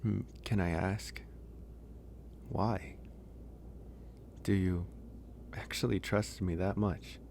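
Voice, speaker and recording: Man playing a low-voiced, gentle werewolf, unhurried. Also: steady tone, creaky, slight background noise, full character voice. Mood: hesitant, curious. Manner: soft, hesitant, pausing. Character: gentle, caring young male werewolf, shy and teasing. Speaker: audio roleplay voice actor. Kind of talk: ASMR roleplay, intimate one-sided dialogue.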